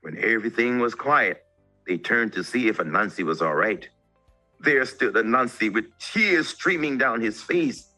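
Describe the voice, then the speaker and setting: A man narrating a children's story as an expressive, theatrical storyteller. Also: mid-range voice, moderate pace, faint music behind.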